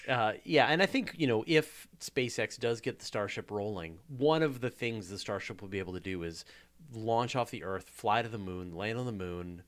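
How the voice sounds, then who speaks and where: A man with a Canadian accent hosting a live space podcast, relaxed and reading out viewer questions. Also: on the low side, moderate pace. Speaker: podcast host. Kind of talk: casual live Q&A chat.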